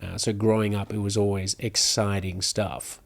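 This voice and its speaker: Australian man from Brisbane, thirties, cheerful guest, lower-pitched voice, laughs a lot. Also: flat delivery, moderate pace, creaky. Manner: upbeat storyteller who laughs easily. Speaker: guest, content creator. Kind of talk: casual interview chat.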